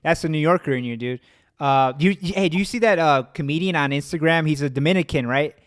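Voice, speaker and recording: Lively Chicago-accented podcast host. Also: male voice, on the high side, quick pace, dry recording, clean studio sound. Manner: animated, laughs often, trails into restarts. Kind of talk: casual conversation.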